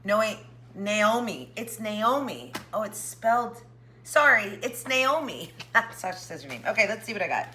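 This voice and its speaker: Chatty lady vlogger, bit hoarse, gets all excited over craft stuff, little hiss behind her. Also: mid-range voice, normal speed. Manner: chatty, excitable, jokes with a pet. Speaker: craft channel host. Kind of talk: casual craft vlog chat.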